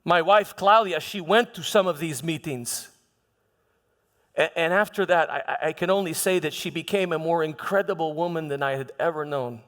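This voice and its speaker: A man playing an aging, haunted Roman governor, delivering a reflective, dignified dramatic monologue in measured, halting phrases. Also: on the high side, a bit bright, close to an everyday voice. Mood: reminiscing. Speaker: performer in a church dramatization. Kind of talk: dramatic first-person monologue. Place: large church hall. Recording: long hall reverb.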